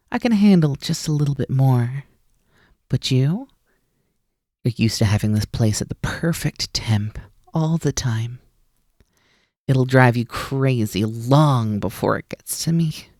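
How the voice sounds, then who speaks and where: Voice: mid-range voice, darkish tone, no room sound, clean studio sound, close to an everyday voice. A performer in an ASMR roleplay voicing a mischievous, teasing naga snake-demon roommate who coaxes playfully and likes to be in charge. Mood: smug, teasing.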